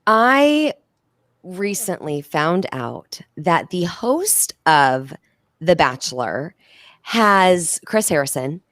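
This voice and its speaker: A woman's voice: lowish voice, big pitch swings, drawn-out words, a bit bright, no room sound, clean studio sound. A woman co-hosting a comedy show, chatting casually in an effusive, slangy way. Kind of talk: casual group banter.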